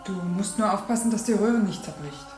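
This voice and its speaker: A woman's voice: mid-range voice, over music, natural voice. Actress as a serious female revolutionary, roomy sound. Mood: serious, subdued. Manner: serious, deliberate. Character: committed female revolutionary bomb maker, serious comrade. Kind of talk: German radio drama acting.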